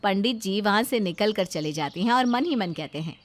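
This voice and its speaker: A woman's voice: medium pitch, animated, moderate pace. A woman reading scripted narration for a children's cartoon story.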